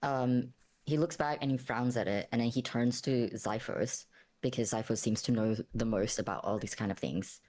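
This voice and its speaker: A man's voice: mid-range voice, fairly even intonation, moderate pace, a little mellow, slight background noise. Hesitant man narrating a tabletop game character. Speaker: player in a tabletop roleplaying game.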